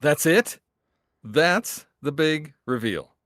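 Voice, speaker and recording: A man's voice: on the high side, lively intonation, slow, a bit bright, no room sound, clean studio sound. A man playing an impatient, sarcastic secret agent, blunt and exasperated, in a comedy radio play read. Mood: unimpressed.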